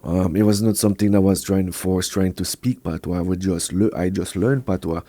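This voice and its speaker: A man from Montreal whose first language is Quebec French, speaking English with a strong Jamaican patois flavor in a relaxed podcast interview. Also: deep voice, brisk, no echo. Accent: Jamaican patois over a Quebec French base. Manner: laid-back, slips into patois grammar. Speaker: reggae artist guest.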